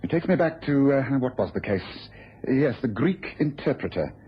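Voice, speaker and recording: An actor playing a shrewd, self-assured English detective in a British radio drama, speaking briskly, precisely and knowingly. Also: male voice, medium pitch, big pitch swings, slightly rough. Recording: dull old radio recording, faint noise.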